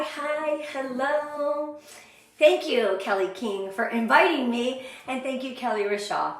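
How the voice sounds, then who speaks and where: A middle-aged American woman speaking with gushing, high energy, greeting viewers on a live online motivational training. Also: slight background noise. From Southern California, USA. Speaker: sales director leading a motivational training. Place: indoor room, live stream with ring light. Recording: very echoey, roomy sound.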